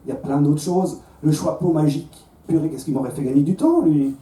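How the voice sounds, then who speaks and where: Belgian French comic in his thirties, self-mocking stage patter with stumbles, slightly high but very mellow voice, miked from a distance in a roomy club. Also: brisk. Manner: conversational, self-mocking, with stammered restarts. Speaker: stand-up comedian. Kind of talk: stand-up comedy set. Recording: roomy club sound from a distance, faint crowd.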